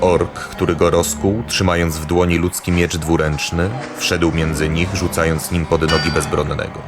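Deep-voiced male fantasy narrator over loud music. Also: flat delivery, moderate pace. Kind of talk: scripted fantasy audio drama narration.

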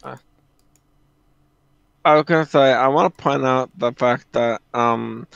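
A man co-hosting a stream in casual chat, halting as he searches for words. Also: mid-range voice, vocal fry, fairly bright tone, smooth, dry recording, lo-fi sound. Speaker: stream co-host.

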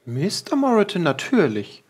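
A man's voice: high voice, wildly swooping pitch, normal speed, husky, full character voice. A man voicing a young, obliging housemaid who talks in a polite, gossipy, hesitant manner in an audio drama. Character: young chatty, obliging housemaid Isabelle, a woman. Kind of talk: improvised pen-and-paper audio drama acting.

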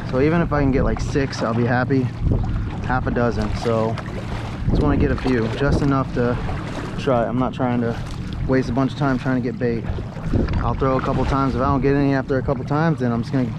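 A man's voice: mid-range voice, fairly even intonation, fairly quick. A man vlogging from a kayak on open water, rambling and correcting himself as he talks viewers through his fishing. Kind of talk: casual narration while fishing. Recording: loud wind noise on open water. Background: loud wind and water noise.

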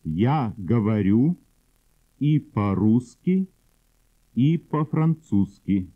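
Very slow male language drill speaker. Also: fairly low pitch, expressive. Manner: very slow and deliberate, word by word. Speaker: language instructor. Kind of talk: slow drill sentences for repetition. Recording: husky, phone-like old cassette audio.

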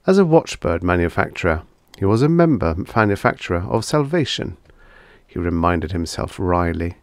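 A man reading vintage science fiction stories as a scripted audiobook, with a measured, dramatic storytelling delivery. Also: low-pitched, very animated, dry recording, studio quality. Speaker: audiobook narrator.

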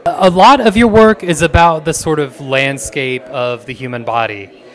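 A man's voice: a little high, moderate pace, fairly bright tone. Man interviewing on a handheld mic. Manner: hesitant, many fillers while framing questions. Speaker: arts interviewer. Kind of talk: gallery interview.

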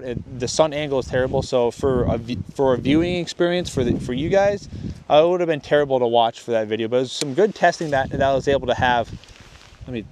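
A man's voice: medium pitch, a little mellow, slight background noise. A young man hosting a fishing video, rambling through a casual gear test on a lakeshore and often restarting his sentences. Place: outdoors on a lakeshore. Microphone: chest-mounted camera.

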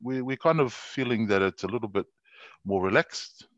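A man chatting as a guest on a video call in a relaxed way, with some fillers. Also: fairly low pitch, moderate pace, no room sound. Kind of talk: casual chat on a video call. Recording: dry, dull video-call audio.

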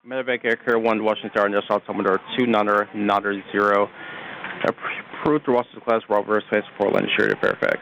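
A man's voice: fairly low pitch, little pitch movement, vocal fry, a bit bright. Over aviation radio, a man gives clipped, procedural instructions as an air traffic controller. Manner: brisk, procedural. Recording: dull, noisy aviation radio with clicks.

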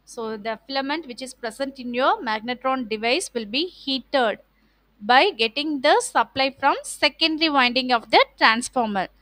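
Casting: woman lecturer, Tamil Indian accent, high-pitched, dry sound, instructional delivery that repeats and rephrases each point. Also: normal speed, bright, forceful tone, no echo. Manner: repeats and rephrases points, frequent "okay" checks. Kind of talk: explanatory online lecture, partly reading slides.

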